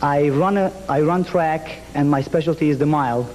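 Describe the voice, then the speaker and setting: A young man from Lebanon competing on a game show, talking earnestly in quick bursts with restarts. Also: fairly high pitch, darkish tone, big-room sound, slight background noise, poor audio. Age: young adult.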